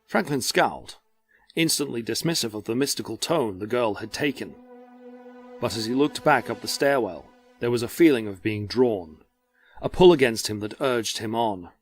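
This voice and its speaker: A man narrating a dramatic story from a script in a measured, descriptive way. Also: mid-range voice, expressive, faint music behind.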